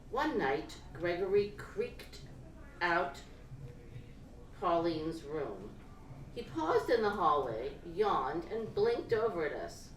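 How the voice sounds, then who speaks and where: Slow-paced woman reading fiction, roomy live recording. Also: fairly low pitch. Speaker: reader of fiction at a live event. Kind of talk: expressive literary reading of first-person prose. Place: indoor live reading event. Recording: roomy, distant live-event recording with noise.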